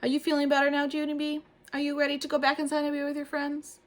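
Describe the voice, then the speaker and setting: A woman voicing a kind schoolteacher who speaks in a gentle, coaxing way. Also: fairly high pitch, quick pace, voice pushed up, lightly acted.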